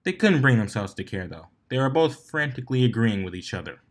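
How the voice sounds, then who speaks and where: A man's voice: medium pitch, big pitch swings, brisk. A man narrating a written fan fiction story as its reader.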